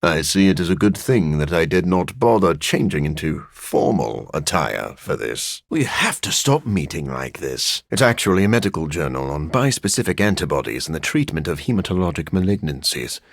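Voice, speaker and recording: A gravelly, low-pitched vampire scientist from a video game. He speaks formally and with dry, melancholy wit, his intonation swinging widely. He sounds like a learned, brooding creature of the night. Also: very animated, normal speed, hardly any pauses, no echo, exaggerated voice. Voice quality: gravelly, husky. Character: brooding, dry-witted living vampire scientist, adult man. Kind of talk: video game character voice lines.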